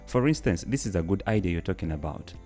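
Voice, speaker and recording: A man's voice: low-pitched, lively intonation, quick pace, mellow, soft background music. A man hosting a podcast, asking curious questions and prompting his guest with frequent murmurs. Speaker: podcast host. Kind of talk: interview questions.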